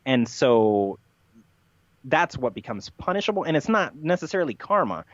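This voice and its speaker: A man talking as a podcast guest, explaining his ideas assertively with halting pauses. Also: medium pitch, big pitch swings, no room sound, dull audio. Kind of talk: conversational interview, expounding ideas.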